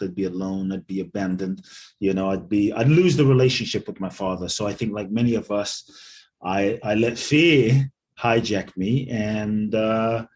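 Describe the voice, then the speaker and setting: Earnest guy in his 40s, grew up in london, lowish voice, slow reflective storytelling as a podcast guest. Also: a little mellow. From born in Ghana, raised in London. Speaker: guest, spiritual speaker and author.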